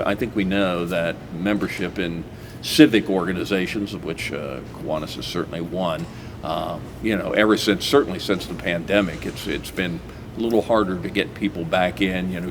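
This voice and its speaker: A man with a low, deep voice hosts a casual community interview. He rambles a bit, stopping and restarting with plenty of "uh"s. The recording has a clear hiss of noise behind him. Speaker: host. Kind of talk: casual local-TV interview chat.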